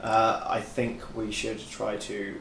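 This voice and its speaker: A man's voice: fairly low pitch, completely flat delivery, takes it slowly, gravelly, a bit bright. A man talking casually as a player in a tabletop role-playing game. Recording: distant, echoey, noisy room.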